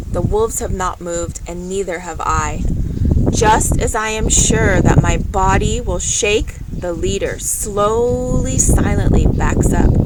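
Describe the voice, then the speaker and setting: A woman reading a storybook aloud in an expressive, dramatic narrating style. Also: medium pitch, very noisy. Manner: dramatic, unhurried storytelling delivery. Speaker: storybook reader.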